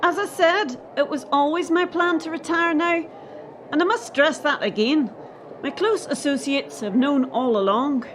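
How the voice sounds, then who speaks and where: A woman's voice: high-pitched, unhurried, slight background noise. A woman playing a defensive, steely head of gymnastics in a radio play, speaking in a firm, clipped, official way. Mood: guarded, firm.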